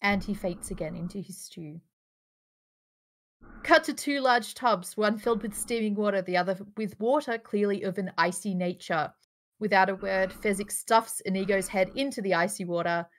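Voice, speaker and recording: A woman's voice: medium pitch, normal speed. A woman reading stage directions as the narrator of a live online table read. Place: home room, online video call.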